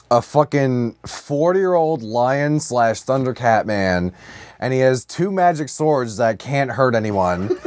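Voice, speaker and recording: A man's voice: medium pitch, smooth, dry recording. A man co-hosting a podcast in casual comedic banter, swearing freely and riffing with exaggerated enthusiasm. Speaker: podcast co-host.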